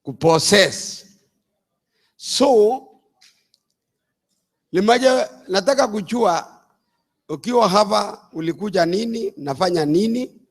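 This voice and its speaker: An older man from Uganda, an apostle, preaching a sermon in slow, deliberate phrases. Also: sits high.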